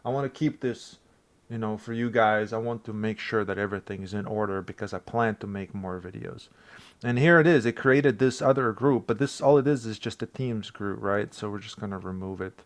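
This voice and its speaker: A man from Bosnia with a Bosnian accent, English his second language, casually talking through a software tutorial for his channel with rambling asides and self-deprecating chuckles. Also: lowish voice, fairly quick. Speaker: tech tutorial creator. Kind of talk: casual screen-recorded tutorial.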